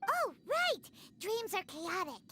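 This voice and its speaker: A woman voicing a small, excitable, childlike fairy companion with a squeaky voice in an anime game. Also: takes it slowly, slight background noise, full character voice. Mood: excited. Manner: third-person self-reference, exclamatory.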